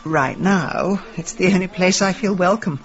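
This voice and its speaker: An actress playing a brash, scheming middle-aged British amateur sleuth with a wry, tart manner in a radio comedy-drama. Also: female voice, on the low side, normal speed, muffled recording, lightly acted. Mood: feigned self-pity.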